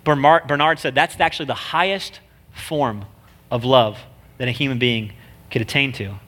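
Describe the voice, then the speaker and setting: A man's voice: mid-range voice, moderate pace, a bit bright. A man with a nasal voice, a guest preacher giving a casual, joking sermon full of slang. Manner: chatty, joking, slangy delivery.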